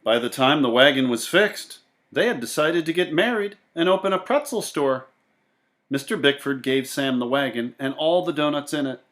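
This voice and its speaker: Male children's story narrator, slightly high voice, very animated and expressive delivery. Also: normal speed. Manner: theatrical, expressive. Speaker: storybook reader.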